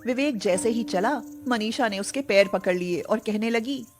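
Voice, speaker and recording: A woman's voice: a little high, moderate pace, soft background music. A woman narrator reading a scripted moral story.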